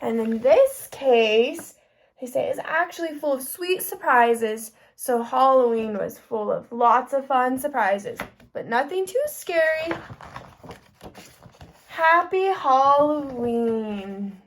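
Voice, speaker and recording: A woman with a very high voice reads a picture book aloud to young children. She takes it slowly, as a kindergarten teacher does, stopping to explain and ask questions in an expressive way.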